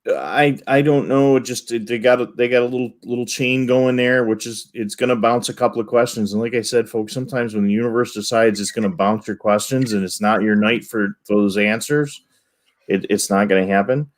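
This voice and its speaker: A man co-hosting a live show, addressing viewers in halting, rambling talk full of stammered restarts. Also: medium pitch, steady tone. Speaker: co-host reading chat questions.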